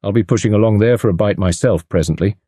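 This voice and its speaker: A man voicing a gruff working-class chauffeur who mutters his lines. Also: fairly low pitch, fast, dark tone, no room sound, studio quality. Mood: grumbling.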